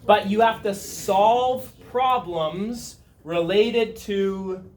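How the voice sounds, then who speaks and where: A man in his thirties teaching a chemistry review class, talking in a dry, fairly monotone way and quizzing his students as he works through problems. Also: high voice, extremely slow. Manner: dry, self-described monotone, asks students questions. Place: high school classroom. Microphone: far camera at the back of the room. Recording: roomy classroom sound from a distance with students around.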